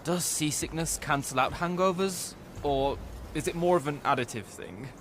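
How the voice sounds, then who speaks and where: An actor playing an irreverent, defiant young battlemage hero with a sarcastic, flippant manner in a video game cutscene. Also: male voice, on the high side, normal speed, close to an everyday voice. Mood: wry banter. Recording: game audio with music or noisy ambience behind.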